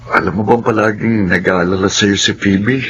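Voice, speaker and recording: A man's voice: fairly low pitch, steady tone, moderate pace, fairly bright tone, highs cut off, a little hiss or hum, close to an everyday voice. Actor as an earnest, caring young man. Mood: concerned. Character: concerned, kind-hearted young male friend. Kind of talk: Filipino radio drama acting.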